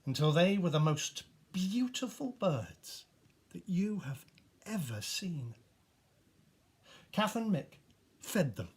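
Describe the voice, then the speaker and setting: A man reading a children's storybook aloud in an animated, expressive way with dramatic pauses. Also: on the high side, slightly rough. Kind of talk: animated scripted storybook narration.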